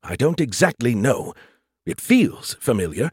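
A man voicing an excitable, arrogant artificial intelligence in an audiobook, flippant and quick to insult. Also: mid-range voice, breathy edge, dry recording, studio quality, full character voice. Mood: baffled, snarky.

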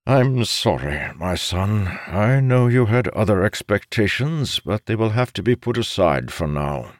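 A man voicing a weary, authoritative father of grown sons in an audiobook, stern and measured but placating. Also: lowish voice, animated, dry recording, studio quality, voice pushed up. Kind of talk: audiobook character voice.

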